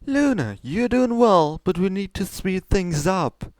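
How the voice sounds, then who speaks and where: A man's voice: sits high, animated, measured pace, a little mellow, no room sound, clean studio sound, pitched above the performer's own voice. A man voicing an imperious, pushy female pony princess who commands and soothes with condescending sweetness in a fantasy story. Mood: pressing.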